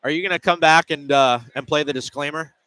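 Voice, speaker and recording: Male basketball radio broadcaster, forceful bright delivery, higher-pitched voice, live halftime style. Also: steady tone, fairly quick, smooth, no room sound. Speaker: sports play-by-play broadcaster. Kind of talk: live basketball halftime commentary and off-air chat.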